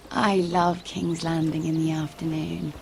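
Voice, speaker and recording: A young noblewoman in a fantasy game. Her voice is slightly low, gentle and wistful, with a hint of secrecy, over faint background noise. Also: level tone, takes it slowly, creaky, exaggerated voice. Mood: wistful, coaxing. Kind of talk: video game voice acting.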